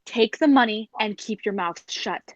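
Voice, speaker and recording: A woman playing a jealous, cold-blooded circus performer in a 1940s radio drama, deliberate and scheming, on a muffled recording. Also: medium pitch, dry recording, lightly acted. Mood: defensive, scornful.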